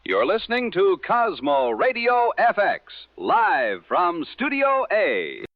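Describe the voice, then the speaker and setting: A man playing a wry, musing 1960s radio host with measured, theatrical phrasing on a dull vintage broadcast. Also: high-pitched, huge pitch swings, faint music behind, heavily acted. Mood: smooth announcing. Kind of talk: scripted 1960s radio show banter.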